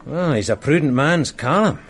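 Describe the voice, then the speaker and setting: Chatty sergeant, mid-pitched, lively, faint hiss. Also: male voice, moderate pace. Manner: chatty, storytelling. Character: loyal, gossipy British police sergeant. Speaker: police sergeant. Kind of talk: radio drama acting.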